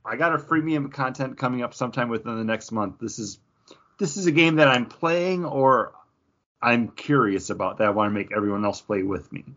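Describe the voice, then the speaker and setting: A man co-hosting a podcast in casual chat, quick and jokey. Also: mid-range voice, fairly bright tone, lo-fi sound. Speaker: podcast co-host.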